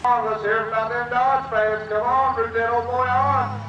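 A man's voice: very high-pitched, little pitch movement, moderate pace, recorded from a distance, lo-fi sound, noisy background. A man announcing live at a truck pull, hyping the crowd in a folksy, rousing way. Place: outdoor fairground dirt track. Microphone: PA loudspeakers picked up by distant camera. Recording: outdoor PA from afar, echoing, loud engines. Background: loud truck engines.

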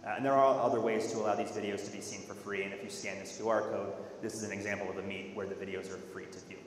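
A man giving an explanatory, halting product presentation at a conference in a large auditorium. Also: fairly low pitch, steady tone. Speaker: software presenter. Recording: echoey hall with faint noise.

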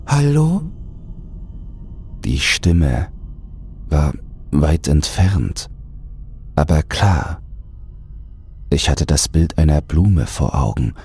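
Super deep guy reading creepypasta, slow pace, raspy husky tone. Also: darkish tone. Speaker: horror story narrator. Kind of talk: scripted horror audiobook narration.

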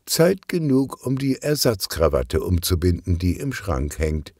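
Wry policewoman read by a husky man. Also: low voice, big pitch swings, a little slow, warm tone, no room sound, clean studio sound, heavily acted. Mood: amused. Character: brisk, amused, observant female police detective.

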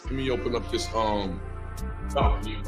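Hoarse man chatting on a livestream. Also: on the low side, normal speed, soft background music. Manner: loose, chatty, greets viewers by name. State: hoarse, losing his voice. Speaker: livestream host. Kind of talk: casual livestream chat. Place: small room indoors.

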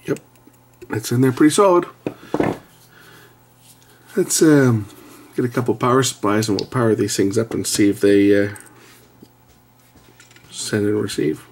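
A man's voice: fairly low pitch, unhurried, gravelly, long pauses, darkish tone. A middle-aged man giving casual, blunt, slightly grumbling commentary while doing hands-on repair at an indoor workbench. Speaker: repair video host. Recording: faint background noise with clicking.

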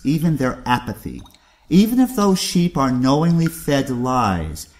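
An actor playing a ruthless, contemptuous aristocratic uncle in an audio drama, speaking in a theatrical, condescending way. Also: male voice, medium pitch, darkish tone. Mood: lofty, grave.

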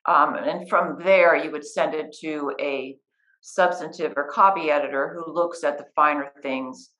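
A woman's voice: sits low, fairly even intonation, measured pace, a bit bright, rough recording. A middle-aged woman, a writing coach and editor, patiently explaining the steps of her work in a conversational interview. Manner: patient, practical, walks through steps. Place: living room, sitting on a couch beside a guest.

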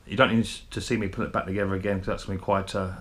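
A man's voice: deep voice, little pitch movement, rapid-fire. A man, casually talking through a chainsaw brake repair in his workshop, chatty and a bit self-deprecating. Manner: chatty, self-deprecating, thinks aloud while working. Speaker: small engine repair video host. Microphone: head-mounted camera. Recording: clear background noise and tool clicks.

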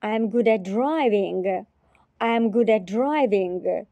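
Slow, careful woman teacher. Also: mid-range voice, mellow, dull audio, a little hiss or hum. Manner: repeats each phrase three times, carefully. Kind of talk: slow repetition drill.

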